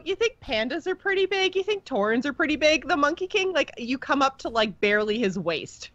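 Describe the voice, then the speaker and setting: A woman's voice: a little high, fairly bright tone, no echo, lo-fi sound. A woman co-hosting a podcast, talking through game lore in a chatty, informal way. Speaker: podcast co-host. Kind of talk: casual game lore discussion and recap.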